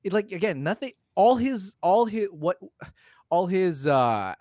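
A man's voice: high voice, very animated, a little slow, a little mellow, no echo, studio quality. A man co-hosting a casual film discussion, speaking bluntly and informally with some swearing. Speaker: podcast co-host.

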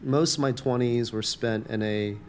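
A man's voice: mid-range voice, fairly even intonation, normal speed, slight background noise. A man born in Taiwan, a campus minister, talking reflectively in an interview with many fillers and restarts.

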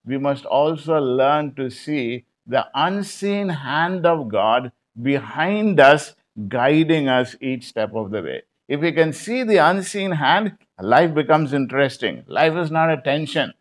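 A man's voice: on the high side, expressive, a little slow, few pauses. A man giving an evening Bible study sermon, talking conversationally with many fillers and repeating his key lines for emphasis. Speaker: preacher.

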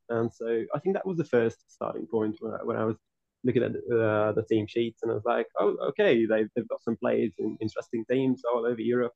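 Gravelly man, dull sound. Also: medium pitch, darkish tone, no echo. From Hungary. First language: Hungarian. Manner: earnest, long winding answers with many restarts. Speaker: podcast guest, football writer. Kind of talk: casual interview answers.

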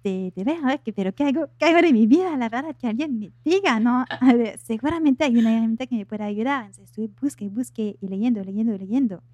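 A woman's voice: a little high, brisk, few pauses, dark tone, no room sound. A woman from France with a French accent, a native French speaker chatting as an interview guest and searching for words in her second language. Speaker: podcast guest, life coach. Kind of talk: casual interview chat.

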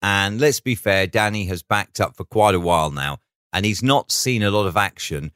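Bloke, deeper voice, podcast pundit talking hockey, measured but punchy phrasing, really dry sound. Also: male voice, a bit bright, clean tone, dry recording. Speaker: sports podcast co-host and pundit. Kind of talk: conversational match analysis.

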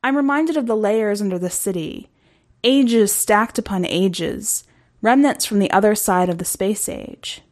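Slow, measured woman narrator. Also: mid-range voice, a little mellow. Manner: measured, deliberate. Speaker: podcast host and story reader. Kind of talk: scripted narration, literary short story.

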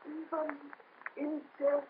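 A tender, eerie ghost of a woman calls faintly in a high voice. She stretches each word out very slowly. Loud hiss covers the tinny old radio recording. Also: monotone. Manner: faint, drawn-out calling. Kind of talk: 1930s radio drama.

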